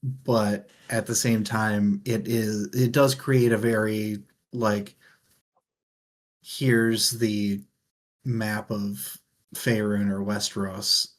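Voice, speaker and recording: A man's voice: on the low side, little pitch movement, very slow. A man co-hosting a book discussion, talking in a casual, conversational way. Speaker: book discussion show host.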